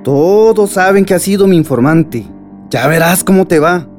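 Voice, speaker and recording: An actor playing a threatening, sneering crime boss in a radio drama. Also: male voice, high voice, moderate pace, a little mellow, close to an everyday voice. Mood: menacing. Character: menacing crime boss threatening his informant accomplice. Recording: guitar music behind the voice. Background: guitar music.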